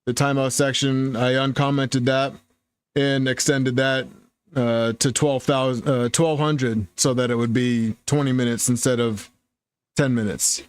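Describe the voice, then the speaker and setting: Relaxed man hosting a tech stream. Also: medium pitch, unhurried, smooth, no room sound. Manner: relaxed, talks through thoughts with restarts. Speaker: livestream host. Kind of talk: casual live tech chat.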